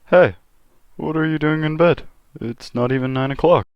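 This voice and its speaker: A man's voice: on the high side, moderate pace, clear, resonant tone, muffled recording, soft background music, close to an everyday voice. A man voicing a mild, caring middle-aged father in a comic dub, with a plain fatherly manner. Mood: mildly concerned.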